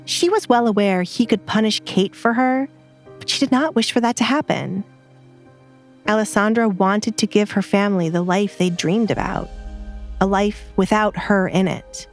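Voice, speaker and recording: A woman's voice: medium pitch, normal speed. Woman narrating an audio drama over music.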